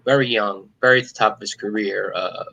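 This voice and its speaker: Wisecracking middle-aged New York comedian. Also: male voice, fairly low pitch, brisk, vocal fry, dull audio. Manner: wisecracking, cynical, deadpan jokes. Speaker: stand-up comedian, guest. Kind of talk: casual video-call interview.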